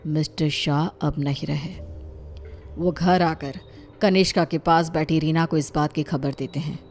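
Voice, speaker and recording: Low, even-voiced woman narrator. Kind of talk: scripted story narration. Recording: music behind the voice.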